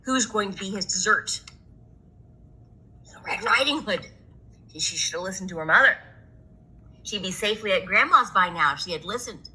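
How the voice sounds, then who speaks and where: A woman's voice: mid-range voice, animated, normal speed, pauses often, sharp tone, low-quality recording. A woman reading a children's story aloud as a storyteller.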